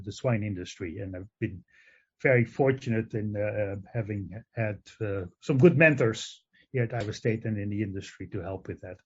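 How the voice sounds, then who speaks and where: An older man from the Netherlands with a Dutch accent, a professor answering interview questions, pausing often with fillers and restarts. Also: mid-range voice, a little mellow, husky. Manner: hesitant, many fillers and restarts. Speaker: guest, professor of animal genetics. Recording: dull lo-fi sound.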